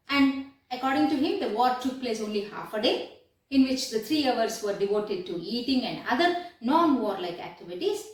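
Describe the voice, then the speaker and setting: A woman teaching a lesson as a deliberate retelling, repeating phrases for emphasis. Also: a little high. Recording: echoey room, distant microphone.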